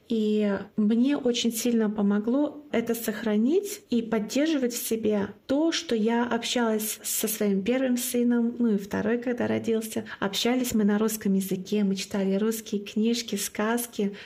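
A woman's voice: fairly high pitch, darkish tone. A woman from Northern Russia whose first language is Komi, a guest in an interview telling her personal story in an earnest way and repeating key phrases for emphasis. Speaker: guest, club founder.

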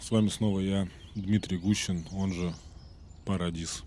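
A man hosting a podcast, telling a story in a casual, rambling way with many fillers, while slightly sick and clearing his throat now and then. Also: low-pitched, fairly even intonation, moderate pace, dark tone, a little hiss or hum. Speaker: podcast host.

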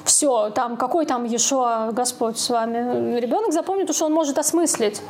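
A woman with a high voice talks in a frank, colloquial, joking style, like a co-host sharing stories in casual discussion. There is faint noise behind her. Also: moderate pace, a little mellow. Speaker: podcast co-host. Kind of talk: casual discussion with personal anecdotes.

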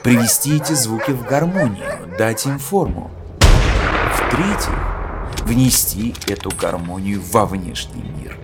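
A man playing a solemn poet, reciting a grave philosophical oration in a measured, declamatory way with emphatic pauses. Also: fairly low pitch, very animated, heavy background noise. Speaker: reader of a poet's speech. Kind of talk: dramatic literary recitation in an audio play. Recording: music under the voice. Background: music.

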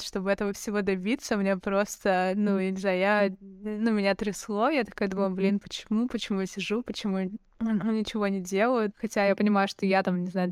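A woman in her twenties hosting an interview, chatty and excitable, rambling as she talks. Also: mid-range voice, clean tone, dry recording, studio quality. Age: 20s. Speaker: host. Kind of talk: casual interview talk.

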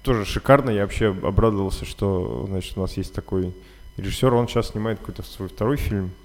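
A man hosting a casual interview, telling things in slangy, colloquial and animated language. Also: fairly low pitch, brisk. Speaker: podcast host. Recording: long hall-like reverb.

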